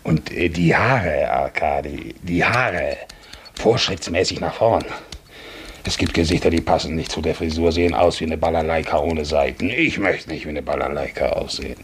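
A man's voice: very deep voice, normal speed. An actor playing a domineering, grumbling Russian nobleman officer in a theatrical 1950s German radio drama. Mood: suspicious, amazed. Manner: theatrical, blustering, musing. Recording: noisy 1950s radio recording.

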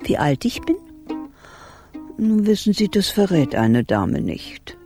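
A woman's voice: fairly low pitch, sing-song, takes it slowly, darkish tone, full character voice. An actress playing a timid, prim pensioner in her seventies, polite and fretful, hesitating in a German radio crime comedy. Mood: coy, plaintive. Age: 70s. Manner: hesitant, ladylike, stammers when unsure. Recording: music behind the voice.

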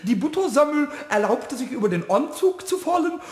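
An actor voicing a mischievous, childlike male kobold with a squeaky voice and mock-genteel, pompous speech in a children's radio play. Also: very animated, normal speed, reverberant, big-room sound. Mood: gleefully mock-genteel.

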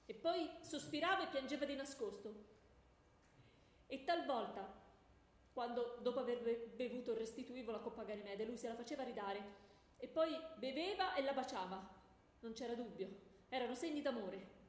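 A woman's voice: fairly high pitch, moderate pace, close to an everyday voice. A woman playing an indignant, jealous goddess wife in comic radio theatre, melodramatic and scandalized. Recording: echoey hall with faint background noise.

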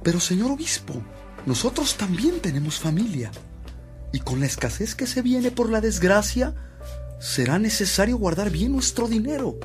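Animated, high-pitched Mexican society gentleman. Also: moderate pace, faint music behind. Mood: worried, objecting. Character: worried, tight-fisted society gentleman who turns contrite. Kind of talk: radionovela acting.

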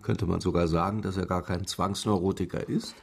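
An actor playing an analytical investigator, methodical and questioning, with a gravelly voice in a radio drama. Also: male voice, sits low, fairly even intonation, a little fast, full character voice. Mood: probing. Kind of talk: radio crime drama dialogue.

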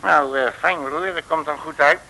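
An actor in a 1950s radio serial playing a grumbling, stubborn detective with a blunt, slangy, exclamatory way of talking. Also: male voice, a little high, moderate pace, creaky, bright, forceful tone, exaggerated voice, low-quality recording. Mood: brusque.

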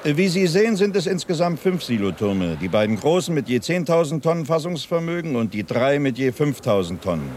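A man's voice: on the high side, very animated, brisk, hardly any pauses, a little mellow. An actor playing a male industrial site guide in a radio play, explaining things factually and evasively. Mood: businesslike. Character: matter-of-fact, evasive male silo facility guide. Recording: faint urban outdoor noise with vehicles.

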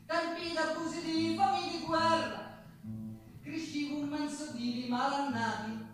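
An actress playing an impassioned, sorrowful and defiant Sicilian folk singer in a theatrical monologue, with a Sicilian accent. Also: female voice, sits high, fairly even intonation, measured pace. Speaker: stage actress and singer in a one-woman show. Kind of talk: theatrical monologue with folk singing, live on stage. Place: theatre stage with live guitar accompaniment. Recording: very echoey hall, distant mic, guitar behind.

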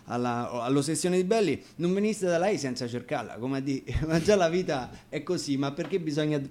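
A man explains songs in a casual, colloquial way, often pausing to find the word. His voice sits a little high. There is faint live-room noise behind him. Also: lively intonation, darkish tone. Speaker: musician and bandleader presenting the songs.

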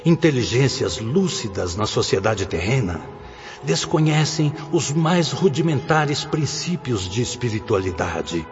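A man's voice: mid-range voice, a little mellow. Solemn male spirit instructor, in reverberant hall. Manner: grave, oratorical, measured didactic delivery. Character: Sóstenes, solemn male spirit instructor addressing penitent souls. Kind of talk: radio drama acting. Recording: dull reverberant recording with music.

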